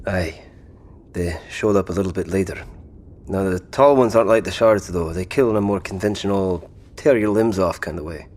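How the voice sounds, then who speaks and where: A man's voice: sits low, normal speed, darkish tone. A man playing a plainspoken, wary apocalypse survivor in an audio drama, with a blunt, dry delivery. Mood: grim, dry.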